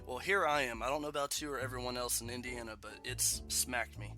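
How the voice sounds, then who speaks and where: A man's voice: mid-range voice, fairly even intonation, quick pace, bright, forceful tone, soft background music. A man hosting a casual podcast interview, congested from a cold, chatty and laughing between points. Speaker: podcast host.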